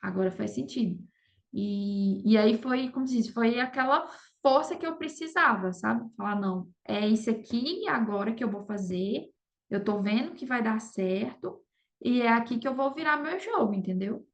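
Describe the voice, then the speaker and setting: Brazilian woman, higher-pitched, casual podcast guest, conversational with frequent tag questions, slightly muffled lo-fi sound. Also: lively intonation, moderate pace, vocal fry. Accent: Brazilian Portuguese. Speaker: podcast guest, affiliate marketer. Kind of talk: casual interview storytelling. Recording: dull lo-fi audio.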